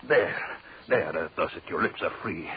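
An actor playing a deranged, vengeful man in brooding, halting, theatrical 1930s radio horror monologue. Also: low-pitched, animated, breathy edge, heavily acted. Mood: obsessive, menacing. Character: deranged vengeful man gloating over a shrunken corpse. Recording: muffled, hissy 1930s radio recording.

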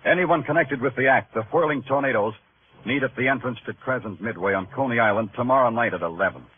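An American actor playing a world-weary, wry New York police detective in a 1950s radio crime drama, talking in clipped, moody noir style. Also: male voice, mid-range voice, fast, slightly rough, close to an everyday voice. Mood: terse, pressing. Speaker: narrator and lead actor. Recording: tinny old radio broadcast, music behind.

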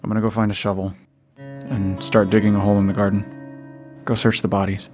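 A man's voice: fairly low pitch, little pitch movement, brisk, over music, lightly acted. A man playing a composed, protective survivor in an audio drama, with a terse, practical manner. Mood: terse, commanding. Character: John, composed, protective male survivor giving orders.

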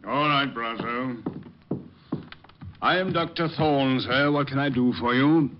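A man's voice: medium pitch, unhurried, lightly acted. A man playing an officious, exasperated, greedy sanitarium doctor in an old radio drama, formal and given to hemming. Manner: formal, fussy, hesitant hemming. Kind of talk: 1950s radio detective drama acting. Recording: dull, muffled old radio recording.

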